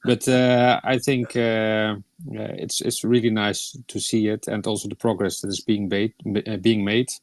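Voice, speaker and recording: A man from the Netherlands chatting on a live stream, halting with many fillers. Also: on the low side, flat delivery, no room sound. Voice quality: hesitant, lots of uhs. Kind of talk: casual live-stream chat.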